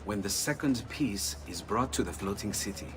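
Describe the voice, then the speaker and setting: An actor playing an excitable, clever Italian inventor in a video game, eager and urgent, with an Italian accent. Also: male voice, on the low side, moderate pace, far from the mic, background noise, close to an everyday voice. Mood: worried. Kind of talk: video game cutscene acting.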